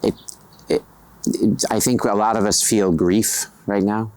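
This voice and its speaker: Low-voiced American man, hesitant. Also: fairly even intonation, darkish tone, clear, resonant tone. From United States. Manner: measured, hesitant, frequent fillers and restarts. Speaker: interviewee, writer. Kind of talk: thoughtful interview answers.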